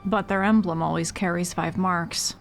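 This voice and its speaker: A woman's voice: on the low side, steady tone, moderate pace, gravelly. A woman playing an offhand guild clerk. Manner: casual, shrugging, offhand. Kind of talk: amateur fantasy audio drama. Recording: music behind the voice. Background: faint music.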